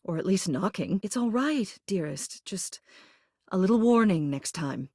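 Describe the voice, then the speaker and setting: A dignified lady mayor from a video game, in a mid-pitched voice recorded very dry. She speaks formally and with authority, in a genteel, protective way, using polished character delivery. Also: expressive, a little slow, darkish tone, slightly rough, no echo. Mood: concerned, gentle. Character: dignified, protective woman mayor, an old living household object. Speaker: voice actor in a dating sim game.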